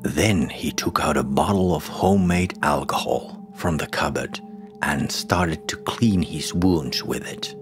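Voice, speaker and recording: Very deep, slow male storyteller. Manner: dramatic, deliberate storytelling. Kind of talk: scripted horror folktale narration. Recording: music behind the voice. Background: music.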